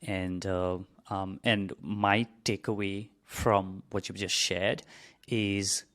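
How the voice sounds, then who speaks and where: A male podcast host with a very low voice reacts to his guest in casual interview chat. He sounds relaxed and keeps filling his sentences with "you know". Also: slow pace.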